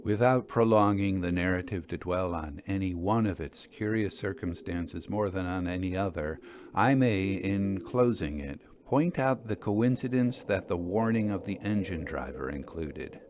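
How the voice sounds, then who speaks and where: A man hosting and narrating a literary story podcast, with measured, deliberate phrasing. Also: sits low, a little mellow, slight background noise. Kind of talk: literary storytelling and scripted commentary.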